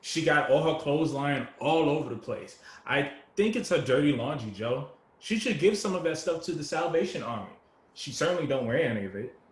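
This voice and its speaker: A man playing an easygoing, good-natured homeless man in a play reading, gentle and appreciative, with folksy street talk, recorded in an echoey room. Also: fairly high pitch, lively intonation, normal speed, natural voice. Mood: gossipy. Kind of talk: dramatic table reading of a stage play. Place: indoor room with echo, virtual reading.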